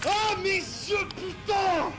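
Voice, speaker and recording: A man voicing an angry, panicked cat owner who shouts in alarm and pain. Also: very high-pitched, extremely slow, over loud music, pitched above the performer's own voice, heavily acted.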